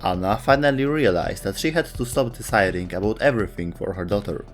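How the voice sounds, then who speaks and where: A man's voice: lowish voice, a little fast, faint music behind. A man delivering scripted narration as the narrator of a video essay.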